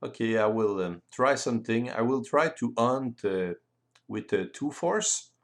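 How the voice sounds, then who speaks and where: A man with a French accent walks through how a board game is played. His delivery is slow and a little hesitant, as he thinks aloud. His mid-pitched voice moves up and down in a very animated way. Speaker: board game rules presenter. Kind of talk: explanatory walkthrough of game play.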